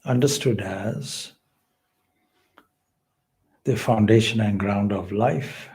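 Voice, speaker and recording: A man leading a guided meditation as a spiritual teacher, speaking in measured, deliberate, solemn phrases with long pauses. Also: lowish voice, darkish tone.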